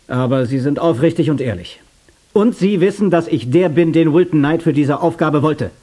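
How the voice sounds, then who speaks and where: An actor playing a bold, stubborn action hero in a radio play, speaking informally and insistently. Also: male voice, a little high, warm tone. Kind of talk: dubbed-style radio play acting. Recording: cassette radio play with music underneath.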